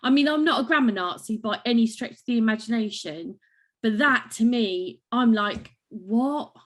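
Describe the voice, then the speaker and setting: A woman co-host in easy, casual chat, making sarcastic remarks and laughing out loud. Her voice sits a little higher than usual. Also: normal speed. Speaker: podcast co-host.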